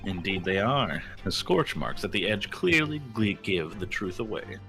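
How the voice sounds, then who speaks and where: Guy doing a pompous detective gent for a game dub, theatrical, lowish voice, super animated. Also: normal speed, vocal fry, music in the background, heavily acted. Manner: theatrical, florid. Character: pompous eloquent gentleman detective lecturing on his deductions.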